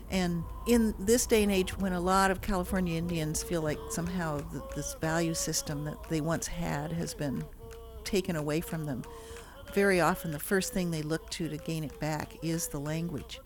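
A woman linguistics professor explaining things in an articulate, matter-of-fact interview. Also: fairly low pitch.

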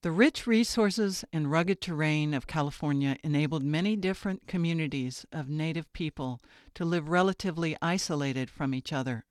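Measured woman radio narrator. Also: low-pitched, dry recording, clean studio sound.

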